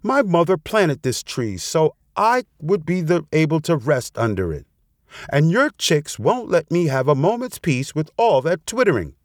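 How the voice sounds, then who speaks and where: A man's voice: fairly high pitch, very animated, a little slow, no room sound, studio quality, voice pushed up. A man voicing a wily, haughty female fox who bullies and schemes, in a storybook tale. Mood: threatening. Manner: haughty, bullying. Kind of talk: storybook character voice.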